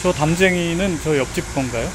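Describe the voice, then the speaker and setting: A man's voice: on the high side, brisk, background noise. Curious, exclaiming Korean man. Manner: curious, full of exclamations and questions. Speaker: food vlogger visiting a cafe. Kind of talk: casual on-site chat. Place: small garden cafe, indoors and outdoors.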